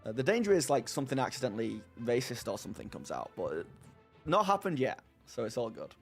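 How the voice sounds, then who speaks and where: A man's voice: mid-range voice, very animated, fast, gravelly, soft background music. Rambling, laughing male streamer. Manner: rambling, self-deprecating, laughs often. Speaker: streamer hosting a live chat. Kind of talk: casual live-stream chat, answering viewers.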